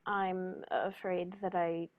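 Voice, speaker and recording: A woman playing a composed, courteous male detective with formal, measured phrasing in a radio play. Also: lowish voice, little pitch movement. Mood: polite, attentive. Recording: dull lo-fi recording.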